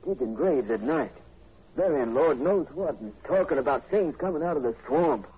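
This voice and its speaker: A man's voice: a little high, animated, normal speed, husky. An actor playing an indignant young country man from Louisiana with a Southern American accent and folksy, earnest speech in a 1940s radio drama. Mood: angry. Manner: folksy, ungrammatical, earnest drawl. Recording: muffled old radio recording with faint hiss.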